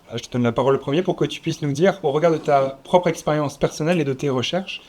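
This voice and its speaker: A man moderating a round table before an audience, speaking in a measured, slightly formal way with occasional fillers. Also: fairly high pitch, a little mellow. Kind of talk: formal event introduction. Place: conference room with audience.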